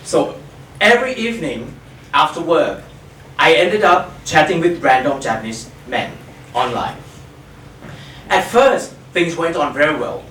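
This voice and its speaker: Man from Thailand, storyteller; unhurried, animated pitch, dry self-mocking wit; distant mic in a reverberant hall. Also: a little hiss or hum. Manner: wry, self-mocking humor. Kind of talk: live stage storytelling.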